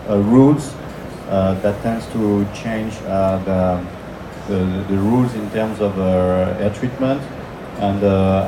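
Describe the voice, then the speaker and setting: A man with a French accent giving a technical conference presentation, hesitant, full of filler sounds and restarts. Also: lowish voice. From France. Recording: echoey room from far off, noisy.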